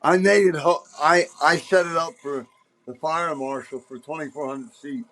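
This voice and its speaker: A man's voice: a little high, steady tone, moderate pace, a bit bright. An older man, likely in his seventies, telling casual anecdotes in a rambling, emphatic, salty-tongued way. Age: older, around 70s. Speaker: podcast host telling stories as a former concert promoter.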